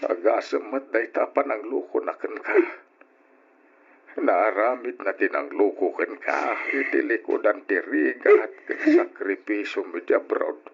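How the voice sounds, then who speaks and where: A muffled vintage radio drama recording of an elderly family patriarch, stern yet sympathetic. His voice is low and husky, with an emphatic Ilocano-accented delivery. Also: expressive, unhurried, exaggerated voice. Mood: indignant.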